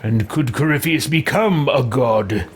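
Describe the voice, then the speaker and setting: A man roleplaying a haughty, commanding fantasy ruler in a video game, imperious and deliberate. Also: medium pitch, slight background noise, natural voice. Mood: probing. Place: small room with bookshelves.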